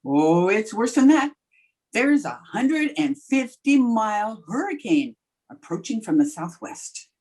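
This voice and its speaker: Actress doing a sarky teacher lady in charge, bit husky, very up and down, dry old radio comedy zingers. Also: a little high, big pitch swings, a little slow, a little mellow, exaggerated voice. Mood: flustered, wry. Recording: remote video-call recording.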